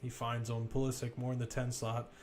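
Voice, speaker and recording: A man's voice: mid-range voice, completely flat delivery, fairly quick, a little mellow. A man with a mid-range voice commentates live on a football match. He talks through the play casually and conversationally, with the odd wry aside. Faint ambient noise sits behind his voice.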